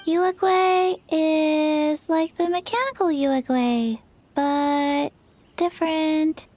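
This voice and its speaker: Tiny undead girl for a game, very slow, flat and halting, high-pitched, soft music under it. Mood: deadpan. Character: small undead zombie girl, forgetful and deadpan.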